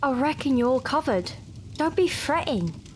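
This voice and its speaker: A woman's voice: sits high, lively intonation, unhurried, a little hiss or hum. A woman playing a streetwise, unflustered member of a gang of thieves in an audio drama, colloquial and matter-of-fact. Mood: reassuring.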